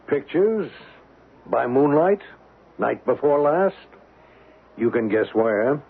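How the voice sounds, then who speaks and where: An actor playing a cocky American blackmailer in a radio drama, brash, terse and calculating. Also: male voice, mid-range voice, lively intonation, slow, gravelly, breathy edge, exaggerated voice. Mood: businesslike, demanding. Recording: muffled phone-like old radio recording.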